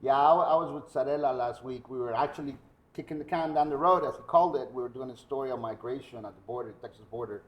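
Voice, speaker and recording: A man's voice: medium pitch, fast talker, highs cut off. Man talking casually in an echoey room. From Guatemala. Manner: conversational, tells anecdotes. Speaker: podcast host. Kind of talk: casual interview chat.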